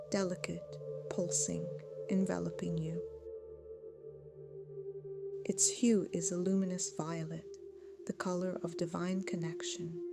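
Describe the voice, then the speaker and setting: A woman leads a guided meditation in a lowish voice with a pronounced creak. Her delivery is measured, soothing and hypnotic, softening into a hush as phrases end. Music plays clearly behind her.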